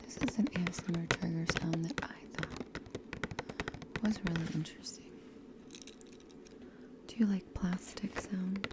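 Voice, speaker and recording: A woman whispering close to the microphone in an ASMR teacher role-play, gently repeating words and encouraging her class with patience. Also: on the low side, steady tone. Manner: slow, gentle repetition of trigger words. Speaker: ASMR host playing a teacher. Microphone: close sensitive stereo microphone.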